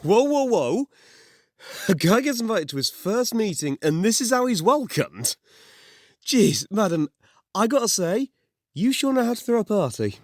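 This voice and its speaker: A man's voice: high-pitched, sing-song, a little slow, long pauses, dry recording, studio quality, heavily acted. A man playing a cocky young mafia heir in an audio drama, brash, sarcastic and taunting. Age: young adult.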